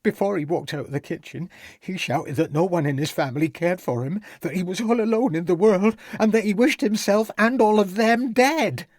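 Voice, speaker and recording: A man's voice: mid-range voice, fairly quick, a little mellow, breathy edge, no room sound, studio quality, close to an everyday voice. A man putting on a fretful tearful female cook. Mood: distressed. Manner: anxious, quavering, stammering pleas.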